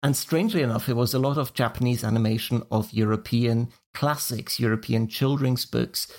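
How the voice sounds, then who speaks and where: A man from Switzerland hosting a film podcast, leading the talk in a measured, organized way. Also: medium pitch, darkish tone. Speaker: podcast host. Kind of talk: casual podcast chat.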